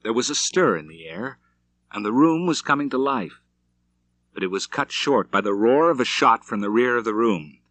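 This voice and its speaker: A man narrating a western novel as an audiobook, with measured, deliberate, dramatic delivery. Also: medium pitch, lively intonation, a bit bright, no echo.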